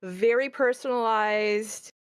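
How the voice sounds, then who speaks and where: A woman's voice: a little high, slow, smooth, dry recording. A woman who is a game creator, hosting a casual question-and-answer chat.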